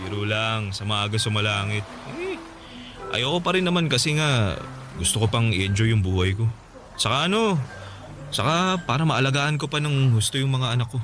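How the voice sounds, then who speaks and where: A man acting in a Filipino radio drama as an easygoing single father in his thirties, chatting casually with halting pauses. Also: medium pitch, animated, a bit bright, soft background music, natural voice. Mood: joking, relaxed. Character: easygoing, joking single father of about thirty-five, on a date. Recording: noticeable noise and ambience behind voice.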